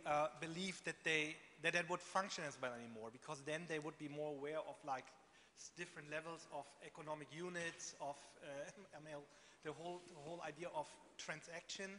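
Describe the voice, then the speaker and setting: A man moderating a conference Q&A, talking in an animated, chatty way full of fillers and laughs. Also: on the high side, slight background noise. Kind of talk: live Q&A moderation. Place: conference hall. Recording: reverberant conference hall.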